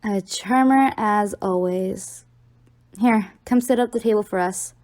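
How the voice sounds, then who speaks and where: A woman's voice: mid-range voice, measured pace, darkish tone, dry recording, natural voice. A young woman playing an affectionate, teasing college-age girlfriend in an audio roleplay, talking playfully to the listener.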